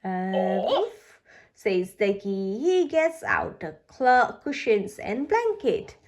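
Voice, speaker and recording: A woman narrates a children's picture book in a fairly high voice with lively swings of pitch. She takes her time, reading deliberately and expressively for little ones. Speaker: storybook reader. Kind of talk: children's read-aloud narration.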